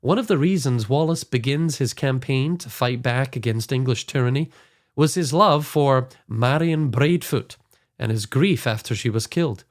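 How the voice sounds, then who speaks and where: Slightly hoarse man hosting. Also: medium pitch, normal speed. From Scotland. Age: 40s. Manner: earnest, enthusiastic storytelling. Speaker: podcast host. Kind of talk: scripted podcast introduction.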